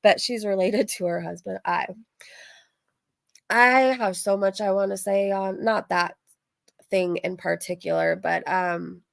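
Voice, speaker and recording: Woman, livestream host, vocal fry, casual rambling chat, informal, emphatic repetition, dry close recording. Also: medium pitch, steady tone, measured pace, no room sound. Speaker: livestream host reacting to drama and reading chat.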